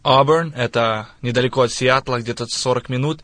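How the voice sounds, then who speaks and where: A young man in his twenties introduces himself in a radio interview. His voice sits at an average pitch, and the studio sound is dry and close. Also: fairly even intonation, moderate pace, fairly bright tone, no room sound. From born in Russia, raised in United States. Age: 20s. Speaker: radio guest.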